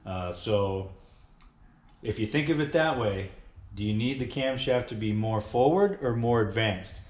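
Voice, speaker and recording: A man's voice: lowish voice, lively intonation, a little slow, a little mellow. Plain-spoken man in an echoey garage. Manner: plain-spoken, frequent uhs and restarts. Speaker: engine builder explaining cam timing. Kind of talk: casual workshop tutorial. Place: home garage with cars and a car lift.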